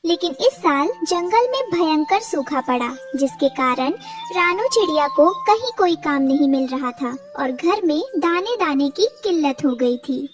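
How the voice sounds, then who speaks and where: Very high-pitched woman narrator. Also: expressive, unhurried, far from the mic, music in the background. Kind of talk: scripted storybook narration.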